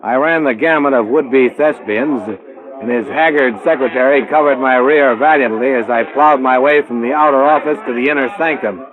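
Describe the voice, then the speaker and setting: A man's voice: medium pitch, normal speed, phone-quality sound, music in the background, close to an everyday voice. An American actor playing a wry, self-assured male insurance investigator in a radio detective drama, with a dry, clipped, wisecracking manner. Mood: brisk narrating. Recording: thin, band-limited old radio recording.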